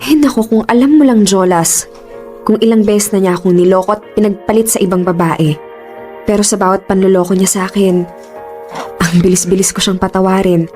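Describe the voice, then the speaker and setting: A woman's voice: mid-range voice, fairly even intonation, fairly quick, darkish tone, close to an everyday voice. An actress playing a composed but caring young female office boss in a Filipino radio drama, speaking earnestly with some English mixed in. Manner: earnest, conversational, mixes English into speech. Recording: music under the voice. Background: background music.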